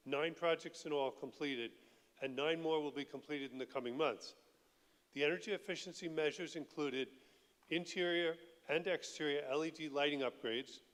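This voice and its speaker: A man with a loud voice presents utility rebates to a school board. He speaks in a polite, businesslike way. His voice has a long reverb from the meeting hall. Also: on the high side. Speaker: energy utility director.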